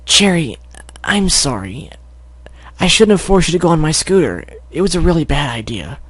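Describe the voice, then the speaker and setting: Man putting on a tomboy filly, eager, brash, raised pitch, dry close recording. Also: sing-song, moderate pace, a bit bright, slightly rough, dry recording, exaggerated voice. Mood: earnest.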